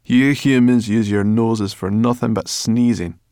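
A man's voice: on the low side, steady tone, measured pace, warm tone, clear, resonant tone, dry recording, clean studio sound, voice pushed up. A man voicing a cross, grumbling female brownie creature in a storybook reading. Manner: grumbling, scolding.

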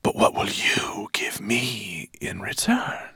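A creepy storybook sand creature, all slow raspy whisper, taunting and not scared at all. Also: male voice, lowish voice, expressive, sharp tone, no room sound, heavily acted. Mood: eerie, bargaining. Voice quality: breathy whisper like crunching sand. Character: eerie male sand spirit, fearless, sly, bargaining.

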